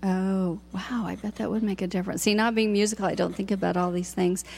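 A woman hosting a radio interview, chatty and enthusiastic, with a loud voice that projects. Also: mid-range voice, steady tone, fairly quick, creaky, a little hiss or hum. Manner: enthusiastic, chatty, laughs often. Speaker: radio show host.

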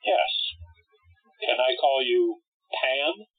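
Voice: medium pitch, animated, very slow, slightly rough, faint music behind, exaggerated voice. An actor playing a curt, contrary and melancholy harlequin, lonely and unloved, in a radio play. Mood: doubtful, gloomy. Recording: tinny phone-like sound.

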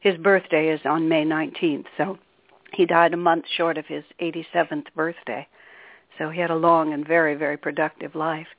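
Older lady teacher on a call-in show, calm and thoughtful, dry tinny phone sound. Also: sits low, no echo. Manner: calm, articulate, measured storytelling. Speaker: spiritual teacher, guest. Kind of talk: radio interview.

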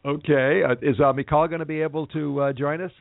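Halting male radio host, tinny. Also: on the high side, steady tone, creaky, no echo. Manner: halting, many fillers and restarts. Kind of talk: live radio interview and ad read. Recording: dry, phone-like lo-fi sound.

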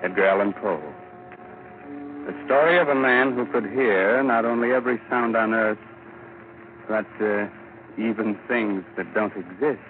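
A man narrating a 1940s radio horror show as announcer, sardonic, ominous and deliberate. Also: lowish voice, faint music behind. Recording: tinny, noisy 1940s radio recording.

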